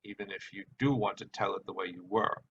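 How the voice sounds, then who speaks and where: A man's voice: sits low, fairly quick, a bit bright. A man voicing an earnest young nephew who protests and pleads with his uncle. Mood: pleading. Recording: dull sound with background hiss.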